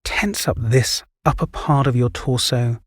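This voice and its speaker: A man guiding a relaxation meditation, giving calm, soothing, measured instructions. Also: medium pitch, breathy edge, dry recording, clean studio sound. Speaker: meditation guide. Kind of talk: guided relaxation meditation, scripted narration.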